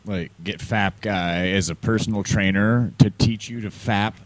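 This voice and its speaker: American man, radio co-host, lowish pitch, casual banter, playful, mock-earnest. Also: fairly even intonation, a little slow, no room sound. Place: small community radio studio.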